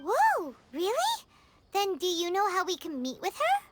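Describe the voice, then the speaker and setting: A woman voicing a small, excitable, childlike fairy companion in a video game, with a squeaky voice, chatty and dramatic with lots of exclamations. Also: moderate pace, full character voice. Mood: curious, eager. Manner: exclamatory, speaks of herself in third person. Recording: music behind the voice.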